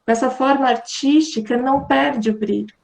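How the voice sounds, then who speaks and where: A woman teacher explaining gently on a video call, often adding tag questions. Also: high-pitched, steady tone, normal speed, gravelly, rough recording. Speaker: Waldorf teacher. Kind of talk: online talk answering questions.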